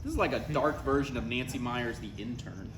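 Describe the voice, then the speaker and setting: A man's voice: medium pitch, lively intonation, brisk, natural voice. Guy acting as dry exasperated grown son, voice swings up and down, roomy live comedy reading, faint noise. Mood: dry, teasing. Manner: dry, skeptical. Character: skeptical, exasperated adult son, struggling screenwriter. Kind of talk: live comedy script table read.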